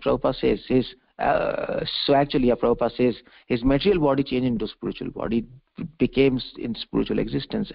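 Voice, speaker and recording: A man delivering a religious lecture as a preacher, explaining scripture emphatically in halting, repeated phrases over a conference call. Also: medium pitch, creaky. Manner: emphatic, repetitive, halting phrases with restated words. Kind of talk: religious discourse, explaining a verse. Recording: dull conference-call audio.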